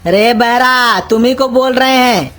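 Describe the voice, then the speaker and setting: A woman's voice: on the high side, a little slow, fairly bright tone, faint music behind. A woman voicing a cranky, scolding old cartoon uncle. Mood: exasperated. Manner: gruff, insulting, exasperated scolding. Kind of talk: cartoon comedy dialogue in rustic Hindi.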